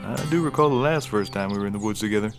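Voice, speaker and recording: An actor playing an earnest, simple and proud backwoods detective with a folksy manner, in a scripted comedy. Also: male voice, fairly low pitch, over music, close to an everyday voice. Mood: reminiscing. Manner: folksy, often opens with a drawn-out "ooh".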